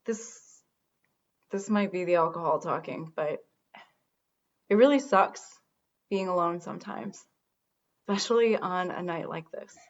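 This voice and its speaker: A woman's voice: fairly low pitch, unhurried, long pauses. Dull lo-fi sound, actress as wry female radio host, regretful, lonely, conversational comedy radio play. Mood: quiet, lonely. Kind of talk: comedy radio drama acting.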